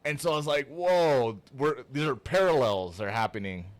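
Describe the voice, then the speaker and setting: A man's voice: medium pitch, animated, normal speed, dry recording. A man from Toronto hosting a podcast in a casual, conversational interview style. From Toronto, Canada.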